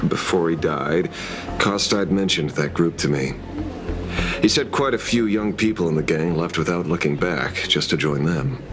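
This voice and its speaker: A world-weary but sharp male private eye from a video game. His voice sits low and swings expressively through dry, wry musings. Music plays clearly behind him. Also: expressive, unhurried. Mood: wry, focused.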